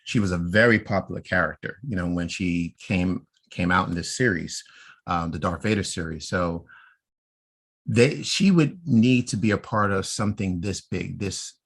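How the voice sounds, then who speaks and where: Deep-voiced American man hosting a podcast. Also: moderate pace, creaky, a bit bright. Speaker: podcast host. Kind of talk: conversational podcast discussion.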